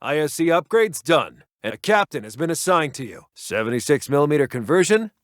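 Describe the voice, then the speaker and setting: A man playing a brisk, dutiful American army intelligence officer in a Second World War video game, giving clipped, formal reports to his commander. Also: a little high, very animated, no echo, studio quality. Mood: brisk, businesslike. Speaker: military announcer reporting to the commander. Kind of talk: scripted video game unit voice lines.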